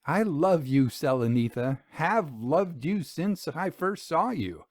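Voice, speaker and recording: A man playing a lovestruck gentleman suitor in a story, speaking formally with solemn fervour. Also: a little high, big pitch swings, slow pace, no room sound, clean studio sound, voice pushed up, exaggerated voice. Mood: bold, ardent. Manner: solemn, ornate, fervent.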